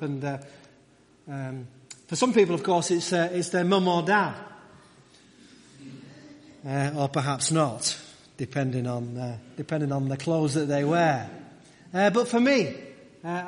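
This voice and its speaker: A middle-aged man preaching a conversational sermon, halting and repeating his phrases as he goes. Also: a little high. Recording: echoey hall with long reverb.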